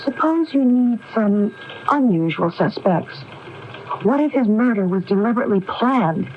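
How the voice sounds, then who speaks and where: A woman's voice: medium pitch, lively intonation, moderate pace, close to an everyday voice. Woman playing a composed, determined widow, muffled radio. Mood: steely, resolute. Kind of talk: radio drama acting. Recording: muffled AM radio, faint noise.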